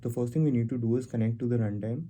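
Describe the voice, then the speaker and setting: A man hosting a casual software tutorial, explaining things step by step as he goes. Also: medium pitch, rapid-fire. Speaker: tutorial host. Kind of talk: casual screen-recorded software walkthrough.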